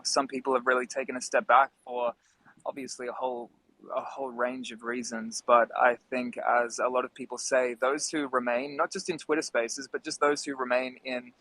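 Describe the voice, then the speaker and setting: A man's voice: mid-range voice, a bit bright, dry recording, low-quality recording. A man hosting a casual live discussion, talking in a friendly, appreciative way. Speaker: host.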